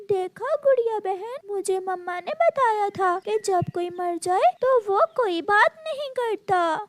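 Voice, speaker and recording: An innocent little cartoon bird boy put on by a woman with a very high, childlike voice. He is bewildered and weepy, whimpering with wide swings of pitch. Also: lively intonation, takes it slowly, exaggerated voice.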